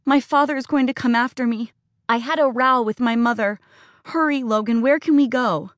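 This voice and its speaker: Woman reader doing a teenage farm girl, defiant, pleading, higher voice, dry close sound. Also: expressive, normal speed, no room sound, natural voice. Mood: frightened. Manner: pleading, accusing.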